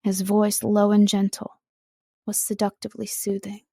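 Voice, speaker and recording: A woman narrator reads a prepared script in a measured, carefully enunciated way. Her voice sits in a middle register with a noticeable creak, and the recording is dry and close. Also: mid-range voice, monotone, warm tone, dry recording. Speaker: voice-over artist and audiobook narrator.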